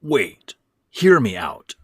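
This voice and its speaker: A man voicing a frail, scornful old stepfather in a horror audio story, with a hoarse, rasping voice and a bitter, forceful manner. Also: medium pitch, sing-song, takes it slowly, dry recording, studio quality, voice pushed up, full character voice. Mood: urgent.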